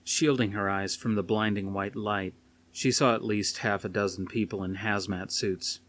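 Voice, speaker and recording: A man's voice: lowish voice, steady tone, moderate pace, a bit bright. A man reading aloud as an audiobook narrator, in a dramatic scripted style. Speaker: audiobook reader. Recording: faint noise behind the reading.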